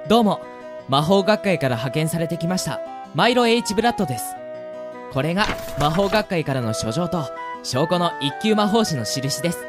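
Woman acting a lively, sensible young boy mage. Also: on the low side, a little fast, music in the background, close to an everyday voice. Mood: businesslike. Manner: earnest, chiding his older brother. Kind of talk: Japanese fantasy radio drama acting.

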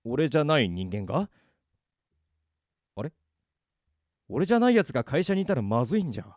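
A man voicing a bewildered young office worker who finds no one can see him, talking in rough casual speech, in an amateur radio drama. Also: mid-range voice, very animated, a little fast, a little mellow, no echo, clean studio sound, natural voice. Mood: muttering.

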